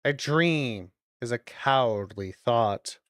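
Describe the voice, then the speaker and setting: A streamer of medium-pitched voice giving casual watch-along commentary, reading phrases aloud deliberately and adding loose muttered asides. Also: expressive, dry recording, studio quality. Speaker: streamer reacting to videos.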